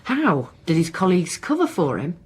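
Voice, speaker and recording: Female inspector for radio drama: lower voice, terse, dogged, authoritative, unapologetic. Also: wildly swooping pitch, normal speed, slight background noise. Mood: probing. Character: blunt, dogged female police inspector, weary but unapologetic. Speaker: police inspector. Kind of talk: naturalistic radio drama acting.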